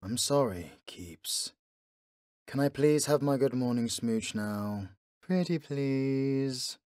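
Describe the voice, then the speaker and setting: A man's voice: medium pitch, animated, slow pace, darkish tone, no echo, studio quality. Man as snarky, theatrical horseman of conquest. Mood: sheepish pleading. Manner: bitter, whiny, theatrical. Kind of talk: boyfriend ASMR comedy roleplay.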